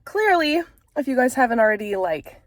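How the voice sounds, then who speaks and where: A woman's voice: high voice, very animated, normal speed, no room sound, clean studio sound. Congested woman in a chatty vlog. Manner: chatty, frank, with frequent fillers. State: congested, stuffy nose. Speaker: vlogger and author. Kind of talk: casual chat to camera.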